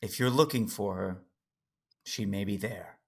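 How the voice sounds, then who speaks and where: A man playing a calm, knowing alien Jedi in an audio drama, with a husky voice and an understated, gently challenging manner. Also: on the low side, a little slow, heavily acted.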